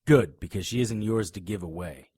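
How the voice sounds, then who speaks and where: A man's voice: fairly low pitch, normal speed, dark tone, husky, no room sound, studio quality. An actor playing a tough, righteous male private detective in an audio drama, confrontational and sardonic. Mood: confrontational, accusing. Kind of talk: hardboiled audio drama acting.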